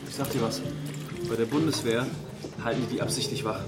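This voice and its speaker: Radio drama casting: wired, pushy male office worker, restless rapid talker played by an actor, loud music underneath. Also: mid-range voice, steady tone, close to an everyday voice. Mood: insistent. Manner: restless, insistent, ranting. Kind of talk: naturalistic radio drama dialogue.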